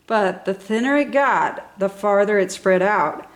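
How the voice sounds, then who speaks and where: A woman's voice: medium pitch, measured pace. A woman reading a children's storybook with enthusiastic, exaggerated emphasis for young listeners. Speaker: storybook reader for a toddler channel. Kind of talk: expressive scripted children's story narration.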